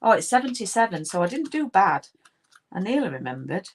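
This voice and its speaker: A woman's voice: medium pitch, big pitch swings, brisk, slight background noise. A woman with a broad Yorkshire accent, hosting a casual live craft stream and greeting viewers by name as she chats. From Yorkshire, England. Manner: chatty, greets viewers by name, frequent ums and restarts. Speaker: card-making demonstrator hosting a live stream.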